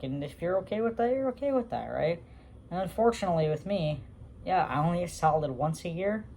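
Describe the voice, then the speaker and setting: A man's voice: on the high side, darkish tone, slight background noise. A young man talking casually to his viewers, rambling with many fillers and restarts. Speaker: vlogger. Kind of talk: casual talk to camera.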